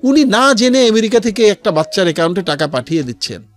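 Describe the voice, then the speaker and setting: Animated, higher-voiced Bangladeshi man explaining earnestly. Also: fast talker, soft background music. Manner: earnest, explanatory, mixes in English phrases. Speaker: interview guest, founder of a charity resale platform. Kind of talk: conversational storytelling in a radio interview. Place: radio studio.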